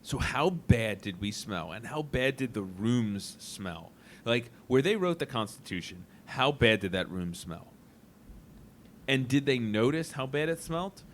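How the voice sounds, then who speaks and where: A man of ordinary pitch runs a laid-back podcast chat, wandering through his thoughts out loud. He tosses in playful, jokey remarks as he goes. A faint rumble hums under the recording. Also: very animated, measured pace. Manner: playful, musing aloud, jokey asides. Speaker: podcast host. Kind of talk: casual podcast chat with students.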